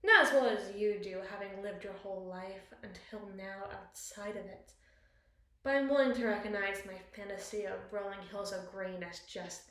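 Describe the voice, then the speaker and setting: A woman's voice: medium pitch, fairly bright tone. A woman playing a possessive, cunning evil queen in an ASMR roleplay, speaking in ornate, theatrical monologue to a spouse. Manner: ornate, eloquent, dramatic phrasing. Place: small bathroom, indoors. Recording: very echoey tiled bathroom.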